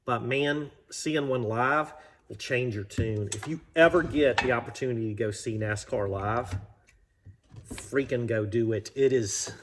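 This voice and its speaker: An American man talks in a casual, folksy vlog style, rambling as he thinks aloud. His voice sits at an average pitch. It is recorded in a garage with some faint noise in the background. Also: a bit bright. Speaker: vlog host. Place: home garage workshop.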